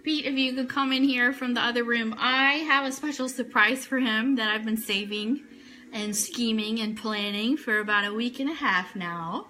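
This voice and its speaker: A woman's voice: fairly high pitch, normal speed, pushed and bright, low-quality recording. A woman hosting a casual fan radio show live, chatting informally and with enthusiasm.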